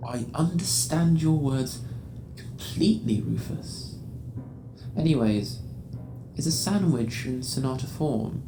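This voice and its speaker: A woman's voice: rumbling low, expressive, slow pace, pauses often, full character voice. A woman playing a vague, hippie-like man in a surreal radio play, earnest about nonsense. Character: Nigel, musty hippie-like man, vague and absurd. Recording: roomy echo, music underneath.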